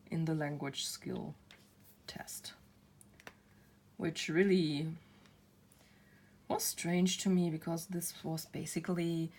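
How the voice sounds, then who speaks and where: A woman in her thirties with a German accent, chatting casually to camera and laughing at herself often. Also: low-pitched. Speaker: craft channel host.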